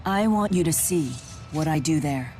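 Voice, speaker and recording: A woman's voice: deep voice, expressive, normal speed, darkish tone, faint music behind. An actress playing a solemn, resolute spirit swordswoman in a video game, speaking formally.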